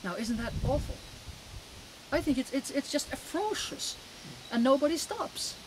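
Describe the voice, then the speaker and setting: She is a woman in her seventies with a Finnish accent. She answers interview questions as personal testimony, leaning hard on words and breaking up her phrases. Clear outdoor noise sits behind her. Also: high voice, big pitch swings, moderate pace, rough recording. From Finland. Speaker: interview guest. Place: outdoors in a garden.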